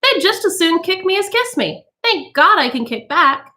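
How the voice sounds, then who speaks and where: Woman doing smug, high-voiced mother, Missus Bowles. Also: big pitch swings, normal speed, sharp tone, full character voice. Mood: callous, amused. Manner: matter-of-fact, self-satisfied, tittering.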